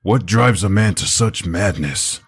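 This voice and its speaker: Man voicing a very husky, grim soldier. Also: low-pitched, lively intonation, unhurried, no echo, exaggerated voice. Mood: grim, watchful. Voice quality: husky, gravelly. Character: grim, gruff soldier, watchful leader.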